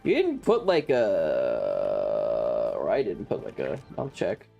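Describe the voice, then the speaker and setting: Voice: very deep voice, huge pitch swings, slow pace, gravelly, dark tone, dull audio. A game streamer chatting casually and swearing freely over game music. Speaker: livestream host. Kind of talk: casual gaming stream chat.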